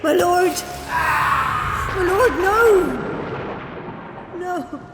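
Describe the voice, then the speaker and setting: Very high, slow, pleading woman. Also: expressive, loud music behind the voice, exaggerated voice. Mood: anguished. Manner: begging, halting. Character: desperate woman pleading for mercy. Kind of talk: full-cast audio drama. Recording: echoey reverberant hall effect.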